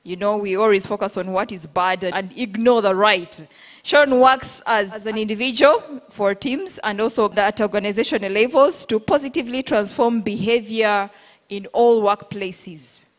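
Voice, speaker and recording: A woman acting as event MC, giving a formal, measured introduction of the next speaker. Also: medium pitch. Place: large conference hall. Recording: long hall reverb.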